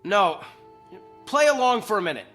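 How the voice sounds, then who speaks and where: An American actor playing a troubled, brusque office executive, terse and probing, with sardonic irony in a naturalistic drama. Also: male voice, high voice, big pitch swings, moderate pace, a bit bright, soft background music, full character voice. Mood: insistent.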